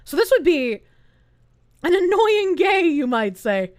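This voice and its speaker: High, lively sarcastic woman streamer. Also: takes it slowly, no room sound, studio quality. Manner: sarcastic and animated. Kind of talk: casual reaction commentary.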